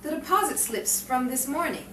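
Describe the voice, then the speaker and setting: Woman acting concerned office friend, high-pitched, echoey. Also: expressive, moderate pace, a bit bright. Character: concerned, friendly female bank co-worker. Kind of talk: 1950s melodrama acting. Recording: echoey, distant, faintly noisy room recording.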